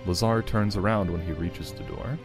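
A man's voice: low voice, fairly even intonation, moderate pace. A man reading scripted narration aloud as narrator of a fan fiction story, with casual asides. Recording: loud background music. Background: music.

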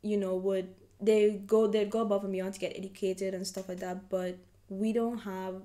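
Young guyanese woman, chatty guest on a call, mid pitch. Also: level tone, moderate pace, dark tone. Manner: chatty, laughs often, acts out quoted reactions. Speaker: podcast guest. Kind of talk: casual conversational interview.

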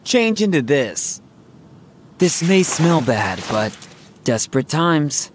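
An actor playing an earnest, caring young soldier on the run in an English video game dub. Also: male voice, a little high, very animated, slow pace, noisy background. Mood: gentle, upbeat.

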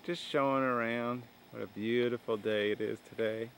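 A father filming his children in the backyard, calmly quizzing and encouraging them. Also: medium pitch, moderate pace, creaky, slight background noise. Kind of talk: casual home video chat. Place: sunny outdoor backyard garden. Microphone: camcorder mic.

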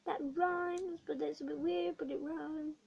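A young girl with a bad cold and a croaky voice, chatting through a craft tutorial vlog in a small bedroom, rambling with many ums. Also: high voice, steady tone, measured pace, highs cut off. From not American. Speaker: craft vlogger. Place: small dim bedroom indoors.

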